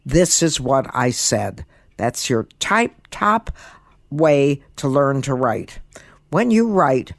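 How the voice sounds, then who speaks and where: Elderly woman with a low-set, dry-recorded voice. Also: no echo. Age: 80s. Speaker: guest podcast host, comedian and author. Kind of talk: podcast monologue with writing tips and story reading.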